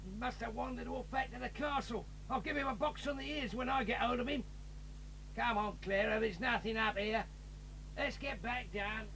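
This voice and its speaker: A gruff, menacing villain father who blusters and threatens his family and anyone in his way. His voice is bright and cutting and sits high for a man. It sounds a little distant, with faint tape hiss, like an old cassette drama. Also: normal speed, full character voice. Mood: irritable. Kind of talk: 1980s audio drama acting.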